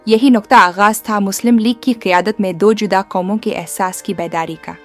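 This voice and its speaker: A woman reading a scripted history documentary narration in a formal, measured manner. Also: lowish voice. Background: music under the voice.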